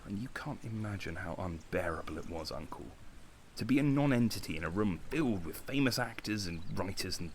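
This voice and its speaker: An actor playing a bitter, insecure young man in a naturalistic audio drama, speaking intensely with dramatic pauses. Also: sits low, a bit bright, slight background noise. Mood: wounded, self-pitying. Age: young adult. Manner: intense, agitated, self-pitying, with dramatic pauses. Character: young man, Konstantin, bitter, insecure, impassioned would-be artist.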